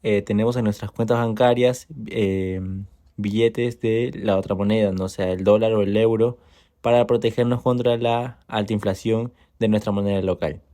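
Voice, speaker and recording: A man co-hosting a podcast, explaining a topic in a measured way with occasional fillers. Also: lowish voice, fairly even intonation, mellow. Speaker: podcast co-host. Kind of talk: informative explainer.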